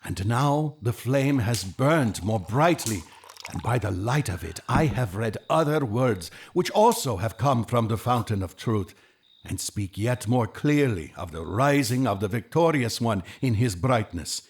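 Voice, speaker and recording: Devout ancient magus seeker, solemn n preachy, really animated, bit husky, audio drama style. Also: male voice, mid-range voice, measured pace. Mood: fervent. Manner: solemn, oratorical, impassioned. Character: Artaban, earnest devout male Persian Magus, fervent seeker.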